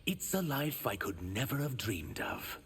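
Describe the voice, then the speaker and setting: An actor playing an earnest, dutiful shapeshifting reptilian emissary who carries grief, in video game dialogue with noisy sound. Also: male voice, on the low side, huge pitch swings, a little slow, gravelly, heavily acted.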